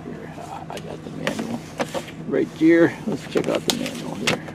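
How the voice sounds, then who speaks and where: A man giving a casual hands-on tutorial, talking haltingly as if thinking aloud. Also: mid-range voice, vocal fry. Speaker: host of a beginner radio tutorial. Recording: loud steady hum and hiss.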